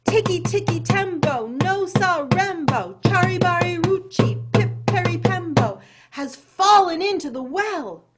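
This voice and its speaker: A woman's voice: high-pitched, lively intonation, unhurried, loud music behind the voice, pitched above the performer's own voice. A woman voicing a small, polite, frantic boy in a storybook, breathless and calling out for help. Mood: panting, urgent. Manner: breathless, shouting, reciting a long name.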